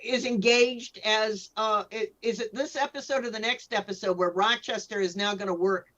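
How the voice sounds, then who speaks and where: A woman's voice: mid-range voice, normal speed, fairly bright tone, highs cut off. A woman speaking as a panelist in a casual discussion, thoughtful and a little hesitant in her phrasing.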